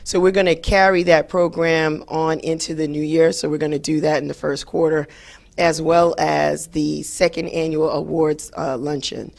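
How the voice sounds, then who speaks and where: A hoarse woman, hosting a talk show in an upbeat, chatty way with many fillers. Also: sits low, level tone, moderate pace, a little mellow. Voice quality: raspy. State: hoarse after a late night. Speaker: radio show host. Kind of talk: solo talk show monologue.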